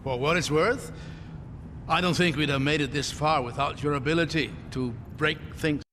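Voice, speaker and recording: A man playing a gentle, bookish scholar in a video game, articulate, curious and earnest. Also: fairly high pitch, steady tone, moderate pace, noisy background, close to an everyday voice. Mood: curious, enthusiastic. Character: gentle, bookish male scholar, eager and grieving father. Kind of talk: video game cutscene acting. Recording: long cavernous reverb, music behind.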